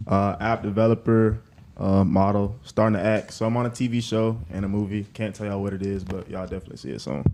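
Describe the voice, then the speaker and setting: A young man of about twenty-one talks casually as a guest on a panel. His voice is low, dark and relaxed. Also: fast talker, slight background noise. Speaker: podcast panelist. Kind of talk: casual chat.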